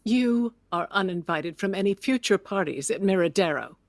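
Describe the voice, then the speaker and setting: A wealthy widow of the 1920s, played by a woman, speaking with imperious, cutting sarcasm and easy indignation. Her mid-pitched voice sits close and dry, in the manner of a period radio play. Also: lively intonation, normal speed, dry recording, close to an everyday voice. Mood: indignant. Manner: arch, sardonic, imperious. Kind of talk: period audio drama acting.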